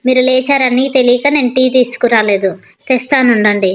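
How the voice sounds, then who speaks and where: A woman's voice: on the high side, fairly even intonation, a little fast, close to an everyday voice. A woman acting a lazy, sleepy young wife who bosses her husband, whiny and dismissive, in a moral story. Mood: brisk, sweet. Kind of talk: acted Telugu moral story dialogue.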